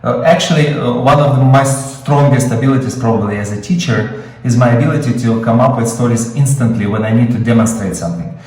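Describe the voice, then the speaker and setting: A man's voice: medium pitch, fairly quick, a little mellow, heavy reverb, distant mic, rough recording. A man with a Russian accent, Russian his first language, teaching screenwriting in an improvised webinar, explaining with many fillers and restarts. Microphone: video call.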